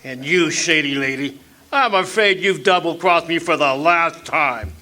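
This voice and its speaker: An actor playing a smug criminal mastermind in a noir parody radio drama, mocking and drawling with menace. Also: male voice, a little high, unhurried, bright, forceful tone. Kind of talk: stage radio drama acting.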